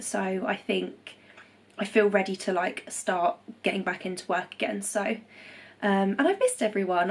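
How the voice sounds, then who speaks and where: Congested young woman, chatty vlog. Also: mid-range voice. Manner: chatty, reassuring, many ums and self-corrections. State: nasally congested after nose surgery. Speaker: vlogger. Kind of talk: casual recovery vlog to camera. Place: home.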